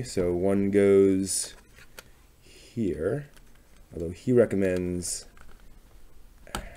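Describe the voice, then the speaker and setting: Low-voiced man musing aloud, noisy recording. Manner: tentative, musing, trailing off. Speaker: host of a live craft stream. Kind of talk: casual hands-on demonstration, thinking aloud.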